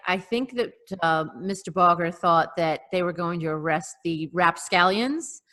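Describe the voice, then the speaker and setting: A woman co-hosting a casual chat on a video call, telling local news in a hesitant, pausing way. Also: fairly low pitch, a bit bright. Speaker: podcast co-host.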